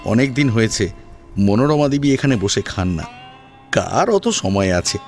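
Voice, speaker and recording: A man's voice: lowish voice, big pitch swings, measured pace, a little mellow, soft background music. A man narrating a scripted family drama as a storyteller, in a dramatic audio-story style.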